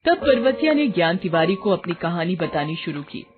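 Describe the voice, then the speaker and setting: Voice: mid-range voice, big pitch swings, normal speed. A narrator reading a scripted horror story. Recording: tinny phone-like sound with music behind.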